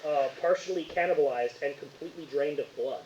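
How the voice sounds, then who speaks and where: A man playing a helpful, puzzled police officer on a phone call in an improvised tabletop game, in an everyday halting voice. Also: a little high, far from the mic, natural voice. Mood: grave, informative. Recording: echoey room, muffled, hissy.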